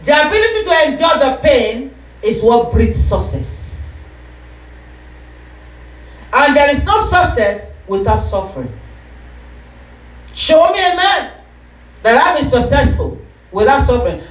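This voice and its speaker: A woman pastor preaching a sermon, calling for responses and rising into shouts. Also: high voice, big pitch swings, unhurried, long pauses, distant mic. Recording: echoey room, voice from off-mic.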